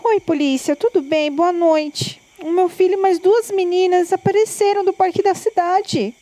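Worried mother played by a woman for audio drama: Brazilian, high, soft-toned voice, pleading. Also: fairly even intonation, normal speed, no echo. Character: anxious, pleading mother of a missing son. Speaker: voice actor.

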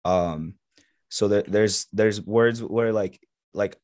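A young man born in Egypt, native in Arabic, speaking with an American accent as a podcast guest in relaxed, filler-heavy chat. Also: on the low side, slow pace, dry recording, clean studio sound. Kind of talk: casual conversation.

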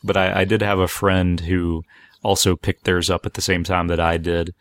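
A male podcast host with a very low voice that often drops into fry. He talks casually and meanders, pausing to find his words. The sound is dry. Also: flat delivery, dry recording.

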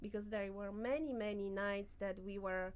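A woman, a mother and caregiver, telling her story as a guest in an earnest, halting way, with many pauses and fillers. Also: medium pitch, smooth. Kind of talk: personal story in a conversation.

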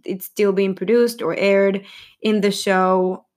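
A woman's voice: mid-range voice, fairly even intonation, takes it slowly, a little mellow. A young woman vlogging casually about a film's cast, rambling and giggly, with lots of fillers and self-corrections. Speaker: film vlogger.

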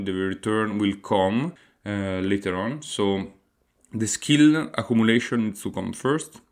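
Solo podcast host, Italian man with an Italian accent, lower-pitched, sincere and hesitant delivery. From Italy. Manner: earnest, explanatory, with frequent hesitant fillers.

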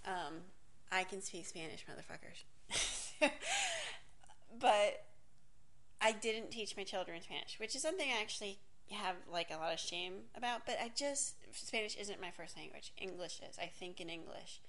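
A woman's voice: medium pitch, animated, normal speed, a bit bright. Woman in her 40s from miami, rambling personal story, cuts herself off a lot, cusses and laughs. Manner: rambling, self-interrupting, swears casually, laughs often. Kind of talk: candid personal monologue.

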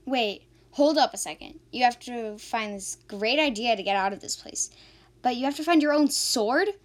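A woman's voice: fairly high pitch, expressive, normal speed, close to an everyday voice. A woman playing a sassy, sceptical young woman in an amateur radio drama, sarcastic and indignant.

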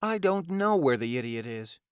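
A man's voice: mid-range voice, very animated, normal speed, clean tone, dry recording, clean studio sound, voice pushed up, natural voice. A man voicing a scornful, taunting female vampire in an audiobook, with a bitter, insulting manner. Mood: defiant.

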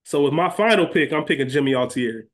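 A man's voice: fairly high pitch, lively intonation, fast talker. A man chatting casually and emphatically as a podcast co-host, conversational in his delivery. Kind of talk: casual chat and recap.

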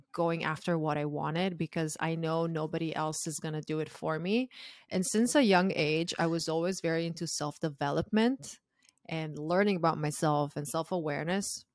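A woman in her thirties, from Croatia, chatting as a podcast guest. She speaks openly and reflectively and laughs easily. Her voice is very low for a woman, recorded dry and close. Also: steady tone, normal speed, dry recording. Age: 30s. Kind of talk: casual interview chat.

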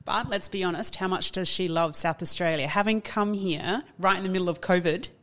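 A woman's voice: lowish voice, fairly quick, fairly bright tone, a little hiss or hum. A woman co-hosting a podcast, asking questions as an encouraging interviewer. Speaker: podcast co-host. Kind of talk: casual podcast chat.

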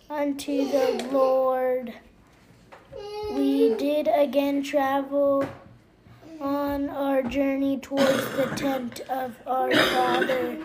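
A child reading scripture aloud haltingly, pausing mid-phrase and repeating words. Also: high-pitched. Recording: noisy home recording.

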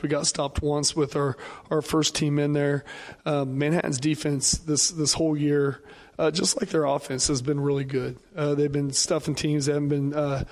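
A football coach who has lost his voice, speaking haltingly with many fillers in a pregame radio interview. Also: fairly high pitch, darkish tone. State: hoarse, lost voice.

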